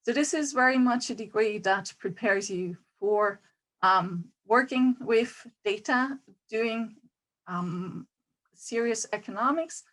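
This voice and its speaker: A woman's voice: a little high, slow pace, fairly bright tone, low-quality recording. A woman who coordinates a degree programme, giving an orderly, explanatory information talk.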